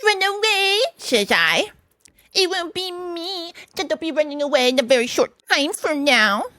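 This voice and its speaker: A cheeky, defiant washerwoman as a woman storyteller puts her on. Her voice jumps high and she draws her words out pertly. The recording is dry. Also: lively intonation, sharp tone, no echo, exaggerated voice. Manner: pert, defiant, drawn-out. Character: cheeky, brazen washerwoman, a disguise persona. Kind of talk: storybook character voice.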